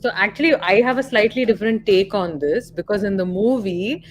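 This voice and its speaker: A woman's voice: mid-range voice, fairly quick. A woman with an Indian accent in a casual discussion, speaking as a panelist who clarifies and stresses her points. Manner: emphatic, corrective, clarifying. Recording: noisy live stream audio.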